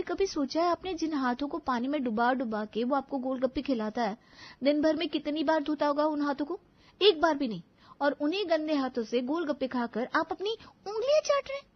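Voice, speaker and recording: Casting: woman playing young cartoon daughter-in-law, very high voice, exasperated, sarcastic, sharp-tongued; slightly muffled recording. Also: dry recording. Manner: complaining, emphatic, sarcastic. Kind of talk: animated family story acting.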